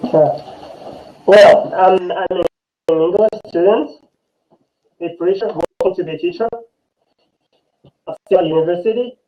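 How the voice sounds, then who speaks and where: Muffled, high-voiced Moroccan man. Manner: halting, repeats words. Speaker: student, trainee teacher. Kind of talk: hesitant self-introduction. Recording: muffled, garbled weak internet connection.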